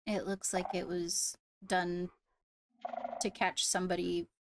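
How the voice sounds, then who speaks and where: A woman speaking in a measured, deliberate way with formal phrasing during an improvised roleplay discussion. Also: lowish voice, level tone, creaky, noisy background. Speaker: roleplay streamer playing a kingdom member.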